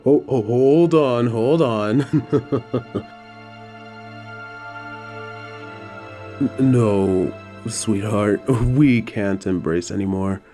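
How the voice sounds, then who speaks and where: A man playing a gentle, apologetic old-time leather tanner in an audio drama, tender and soothing with old-fashioned phrasing. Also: on the low side, slow pace, soft background music. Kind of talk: romantic audio drama acting.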